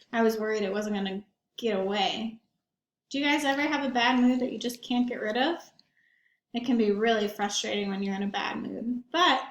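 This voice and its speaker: A high-pitched woman reads a children's picture book in an echoing room. She is animated and emphatic, and she turns to her young listeners with simple questions as she goes. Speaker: read-aloud storyteller for young children. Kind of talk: expressive picture-book read-aloud with asides to children.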